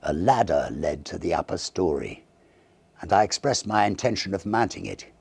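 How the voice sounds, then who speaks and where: Very deep, gravelly male storyteller. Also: no room sound. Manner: theatrical, expressive, deliberate phrasing. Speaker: audiobook narrator and reader. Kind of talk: dramatic reading of a Victorian ghost story.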